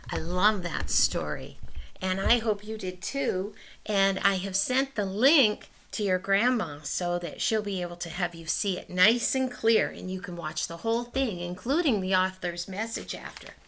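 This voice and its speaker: A middle-aged woman leads a children's Sunday school lesson from a small room at home, recorded on a laptop webcam. Her voice is bright with a gravelly edge. Faint noise hums behind her as she chats easily to the children. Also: medium pitch, expressive, a little slow. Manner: warm, chatty, talks straight to children.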